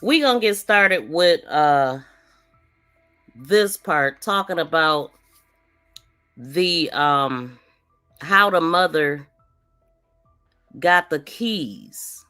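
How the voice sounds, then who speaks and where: A woman with a bright, forward voice hosts a live commentary show. She talks casually and straight to her audience, with faint music underneath. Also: low voice, expressive, extremely slow, long pauses, clean tone. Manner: informal, conversational, addresses viewers directly. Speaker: livestream podcast host.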